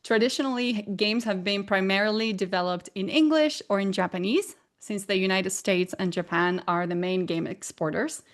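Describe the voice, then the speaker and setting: A woman's voice: medium pitch, normal speed, few pauses. Argentine-accented woman presenting a lesson. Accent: Argentine Spanish. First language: Spanish. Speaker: guest presenter. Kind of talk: presenting a talk.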